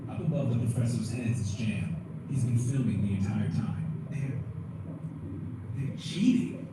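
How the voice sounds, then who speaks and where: A man's voice: medium pitch, normal speed. A man giving dramatic scripted narration for an audio play. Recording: echoey, distant, noisy livestream playback.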